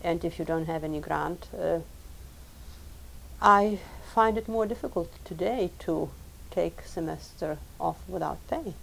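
A woman with a low voice and a gravelly edge, a native Lithuanian speaker, answering questions in a recorded interview. She talks in a measured, thoughtful way, often repeating words. A faint hiss sits under the recording. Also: rough recording. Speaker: professor and translator. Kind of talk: recorded oral history interview.

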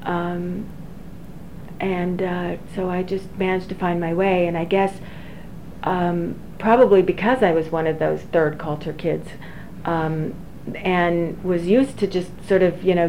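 A woman in her forties, an engineering professor, recalling her career slowly with many fillers and restarts. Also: on the low side, fairly even intonation, mellow. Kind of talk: oral history interview. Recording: dull lo-fi recording with faint hiss.

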